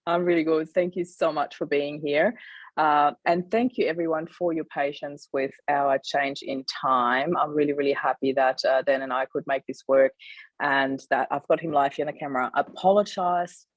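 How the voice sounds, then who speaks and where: A woman hosting an interview podcast over a video call, her voice croaky from a cold, affirming her guest with frequent murmurs. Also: sits low, fairly even intonation, moderate pace, no echo, highs cut off. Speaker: podcast host.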